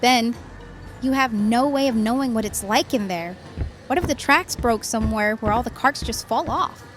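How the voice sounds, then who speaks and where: A woman's voice: a little high, moderate pace, over music, natural voice. Worried, sensible young woman acting in a horror drama. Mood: alarmed. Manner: cautious, disapproving, pleading.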